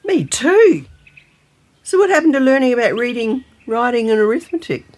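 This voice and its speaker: A woman playing a brisk, dry-humoured older Australian grandmother in a naturalistic audio drama. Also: on the high side, very animated, darkish tone.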